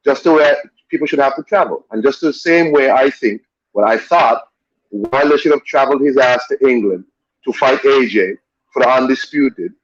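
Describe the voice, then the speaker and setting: A man's voice: medium pitch, moderate pace, no room sound. Opinionated male boxing co-host, blunt, dull dry lo-fi sound. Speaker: podcast co-host. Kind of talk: casual boxing talk. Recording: muffled dry audio.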